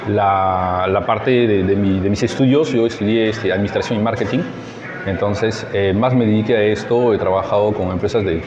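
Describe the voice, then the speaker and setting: A man with a fairly low voice and a French accent, a native French speaker. He answers interview questions informally and haltingly, often searching for his words. The recording is echoey and somewhat muffled, with a clear bustle of people behind him. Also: steady tone. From France. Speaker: French teacher. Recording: muffled, echoey outdoor recording with crowd noise.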